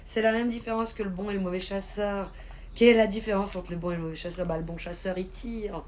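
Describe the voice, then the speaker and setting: A woman from Switzerland chatting casually on a live stream, reading and answering viewers' comments in an informal, slangy, expressive way. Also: medium pitch, fast. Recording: live stream with clear background noise.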